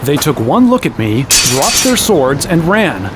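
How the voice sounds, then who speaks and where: A man's voice: a little high, very animated, measured pace, very noisy. An actor playing an exasperated, sarcastic young hero who narrates his own quest in a fantasy audio drama. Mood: narrating. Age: young adult. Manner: wry, exasperated. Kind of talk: first-person audio drama narration and dialogue.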